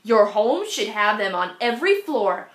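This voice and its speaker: A woman's voice: mid-range voice, big pitch swings, unhurried. A woman voicing a patient male schoolteacher who lectures steadily and with emphasis, in a storybook read-aloud. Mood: instructive. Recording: echoey room.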